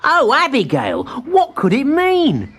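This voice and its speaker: Woman acting an eager, high-pitched young storybook boy hero. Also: slow pace, heavily acted. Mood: puzzled. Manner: excitable, exclaiming. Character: eager young male hero, excitable and polite. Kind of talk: storybook character voice.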